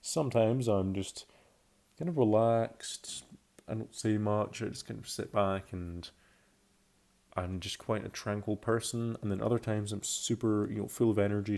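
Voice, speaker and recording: Low, mellow young man with creaky voice. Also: fairly even intonation, normal speed. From Belgium, Scotland and France. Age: early 20s. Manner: rambling, self-correcting, restating phrases. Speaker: YouTuber. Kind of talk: casual video commentary about himself.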